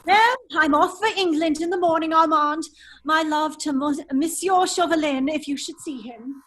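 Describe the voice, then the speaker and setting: A woman playing a witty, foppish English gentleman with a secret life, in an affected drawling manner in an amateur drama reading. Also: sits high, moderate pace, a little hiss or hum. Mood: wry, bitter. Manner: affected, languid, drawling, exclaiming.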